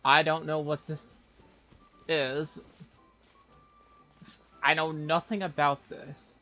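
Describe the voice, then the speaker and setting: A man's voice: a little high, flat delivery, takes it slowly, bright, forceful tone, faint music behind, poor audio. A man doing casual live game commentary, thinking aloud in a hesitant, wry way. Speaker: game streamer.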